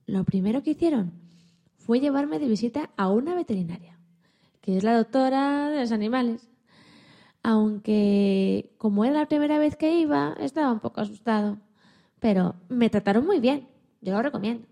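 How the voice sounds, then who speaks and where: A high, mellow voice tells a children's radio tale as a timid young dog played by a woman. He is tender and hopeful, lingering on phrases with expressive, halting storytelling. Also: gravelly. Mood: tender, earnest. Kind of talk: children's story radio play, first-person narration.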